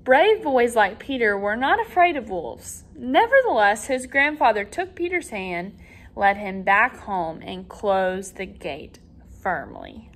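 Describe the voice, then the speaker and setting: A woman reading a children's story aloud as a teacher, with expressive, dramatic emphasis for young listeners. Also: mid-range voice, takes it slowly. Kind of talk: animated storybook read-aloud.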